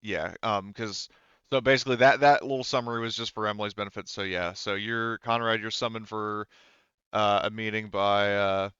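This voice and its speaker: A man's voice: lowish voice, steady tone, normal speed, a bit bright, smooth, no room sound, muffled recording. A man casually chatting as he runs a tabletop roleplaying game. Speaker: game master. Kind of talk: casual game-running chat.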